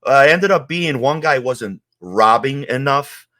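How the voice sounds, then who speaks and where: A man's voice: mid-range voice, animated, measured pace, bright, forceful tone. A middle-aged man telling stories in a rambling, streetwise way, with many "you know"s, as an interview guest. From Queens, New York. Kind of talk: casual storytelling.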